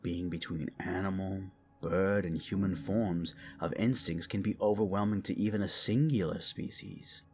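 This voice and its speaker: A man's voice: sits low, moderate pace, few pauses, darkish tone, a little hiss or hum, natural voice. A man voicing a learned young nobleman who explains things with assurance. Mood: assured.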